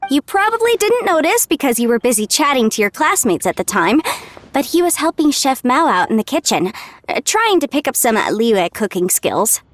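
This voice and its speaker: A woman's voice: high voice, big pitch swings, moderate pace, a little hiss or hum. An actress playing a friendly, easygoing young woman in an anime-style video game, talking in a breezy, chatty way. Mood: musing, chatty.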